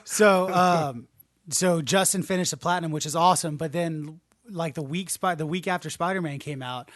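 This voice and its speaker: A man's voice: fairly high pitch, normal speed, fairly bright tone, no room sound, clean studio sound. A man co-hosting a gaming podcast, chatting casually, rambling and swearing freely. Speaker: podcast co-host.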